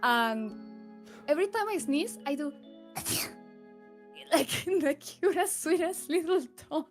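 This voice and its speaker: A woman's voice: high-pitched, expressive, takes it slowly. Giggly woman, music behind. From Argentina. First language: Spanish. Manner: giggly, chatty, laughs often. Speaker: guest. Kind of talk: casual stream chat.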